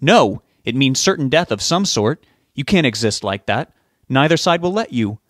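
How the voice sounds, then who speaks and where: A man voicing a hot-tempered, stubborn older husband in an audiobook, yelling and indignant. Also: medium pitch, expressive, moderate pace, no echo, studio quality, pitched above the performer's own voice. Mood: adamant, alarmed.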